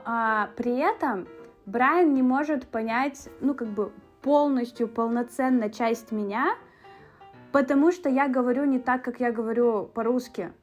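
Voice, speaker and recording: A young woman from Russia talking in a chatty, casual vlog style, with fillers and asides. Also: a little high. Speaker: beauty vlogger.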